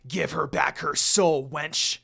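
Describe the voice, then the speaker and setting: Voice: mid-range voice, fairly even intonation, takes it slowly, vocal fry, bright, forceful tone, husky, exaggerated voice. A storyteller voicing a hostile, menacing young half-demon man who growls threats in a fan fiction reading. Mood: angry, growling.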